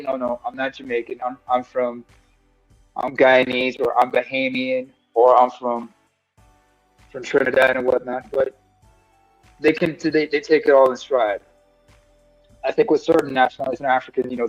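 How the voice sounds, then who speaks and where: A man's voice: mid-range voice, no room sound. Earnest man rambling with fillers, dull sound. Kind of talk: casual discussion.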